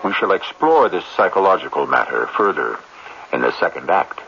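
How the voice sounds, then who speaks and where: Low-voiced, wry male radio host. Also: sing-song, normal speed, gravelly, a bit bright, a little hiss or hum. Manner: wry, rhetorical. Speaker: radio drama host and narrator. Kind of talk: theatrical scripted radio narration. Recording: dull old radio recording with music. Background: music.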